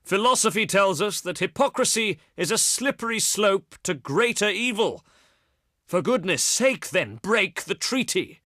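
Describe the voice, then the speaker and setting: An actor playing a proud, pious ancient Greek diplomat in a strategy game, speaking in formal, theatrical oratory with haughty eloquence. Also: male voice, high voice, pushed and bright, no room sound, studio quality, exaggerated voice. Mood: pleading, urging. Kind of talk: theatrical scripted game dialogue.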